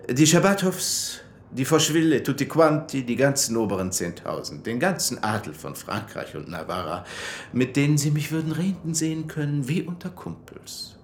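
An actor playing a pompous, chummy society doctor who boasts in colloquial, emphatic German radio drama style. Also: male voice, mid-range voice, moderate pace, slight background noise, natural voice. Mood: emphatic bragging.

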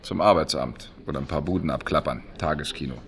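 A man's voice: very deep voice, fast talker, vocal fry, a bit bright, full character voice. An actor playing a casual, wry man in a naturalistic radio play, talking in a colloquial, offhand way. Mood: wry, confiding. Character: casual, wry, sporty man hiding unemployment. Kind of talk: naturalistic radio drama acting.

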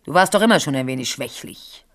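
A woman's voice: extremely low pitch, animated, a little fast, fairly bright tone, full character voice. An actress playing a scheming older queen mother, condescending and needling, in German radio drama style. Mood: needling, menacing.

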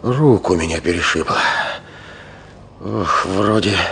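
An actor playing a gruff, defiant wounded soldier who groans and gives urgent, clipped orders in a Soviet radio drama. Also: male voice, low voice, takes it slowly, fairly bright tone, exaggerated voice. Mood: pained, urgent. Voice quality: straining, groaning. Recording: noisy old radio play recording.

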